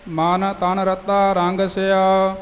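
A man reciting scripture in a slow, measured, sing-song chant. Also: high-pitched, completely flat delivery, long reverb tail.

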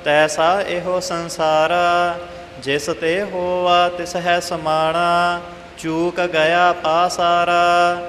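High, slow-chanting man in echoey hall. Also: faint music behind. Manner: measured, steady chanting. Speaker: student of scripture recitation. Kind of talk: slow chanted scripture recitation.

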